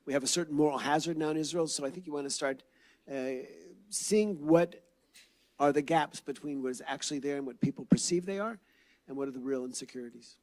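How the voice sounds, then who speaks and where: A man speaking loudly as a panelist at a symposium, answering at length and thinking aloud with fillers. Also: fairly high pitch, fairly quick.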